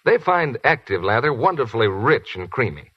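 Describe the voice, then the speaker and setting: 1940s male announcer, husky and animated, dry lo-fi sound. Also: medium pitch, moderate pace, no room sound. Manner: smooth, persuasive. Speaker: radio announcer. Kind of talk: scripted commercial announcing. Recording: dry, muffled 1940s radio broadcast.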